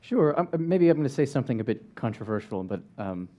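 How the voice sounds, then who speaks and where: A man's voice: mid-range voice, sing-song, fast talker, dull audio. A man speaking as an educator on a panel, wry and joking, thinking aloud as he goes. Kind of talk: panel discussion.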